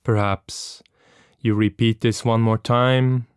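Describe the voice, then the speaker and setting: Calm guy doing a guided meditation, really slow and gentle, super clean dry sound. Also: mid-range voice, smooth, no room sound. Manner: calm, gentle, unhurried instruction with long pauses. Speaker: meditation instructor.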